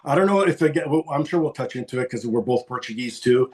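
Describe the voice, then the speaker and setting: A man's voice: medium pitch, animated, fast talker, darkish tone. A middle-aged man from Toronto, a podcast guest talking casually and at length, rambling a little with restarts. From Toronto, Canada. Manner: chatty, rambling, self-deprecating with restarts. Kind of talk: casual interview talk.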